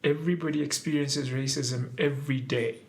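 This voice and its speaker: A man who is an academic, speaking in a documentary interview in a lecturing way with frequent pauses. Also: mid-range voice, steady tone. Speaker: academic and PhD researcher.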